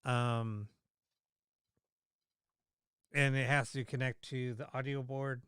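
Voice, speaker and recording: A man hosting a paranormal livestream, chatting casually with many fillers and restarts, his voice slightly hoarse. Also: mid-range voice, flat delivery, slow, fairly bright tone, dry recording, clean studio sound. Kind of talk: casual live-stream chat.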